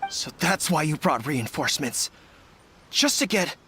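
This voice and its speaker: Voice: mid-range voice, very animated, a little slow, a bit bright, a little hiss or hum. An actor playing an earnest, loyal young male resistance general in a video game, resolute and formal in delivery. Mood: indignant. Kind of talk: dramatic video game voice acting.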